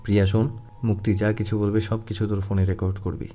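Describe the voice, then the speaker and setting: A man's voice: lowish voice, little pitch movement, fast, mellow, natural voice. A man playing a reserved young elder brother, secretly in love, in a dramatized audio love story. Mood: guarded, confiding. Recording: music under the voice.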